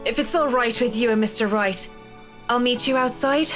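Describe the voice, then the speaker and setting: Actress as a composed, higher-voiced professional woman. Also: normal speed, fairly bright tone, faint music behind, close to an everyday voice. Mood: polite, guarded. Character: composed professional young woman, a reserved interior designer. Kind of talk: visual novel game voice acting.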